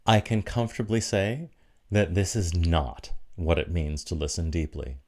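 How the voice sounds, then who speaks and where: A man delivering a prepared, reflective sermon with measured, deliberate phrasing and short pauses for emphasis. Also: deep voice, steady tone, a little mellow. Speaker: preacher giving a sermon for an online church service.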